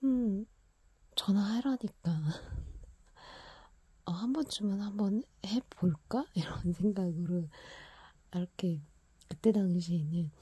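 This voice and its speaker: Breathy whispering woman, very close. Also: medium pitch, takes it slowly, pauses often, dry recording. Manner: soft whisper, frequent quiet laughs. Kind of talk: whispered personal storytelling, ASMR-like.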